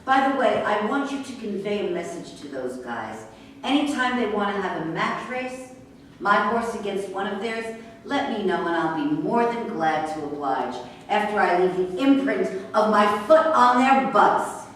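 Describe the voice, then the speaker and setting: A woman reading the part of a defiant, sharp-tongued racehorse trainer in a staged reading, combative and sarcastic. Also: mid-range voice, expressive, moderate pace, close to an everyday voice. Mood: defiant, indignant. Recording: echoey room, distant mic, faint noise.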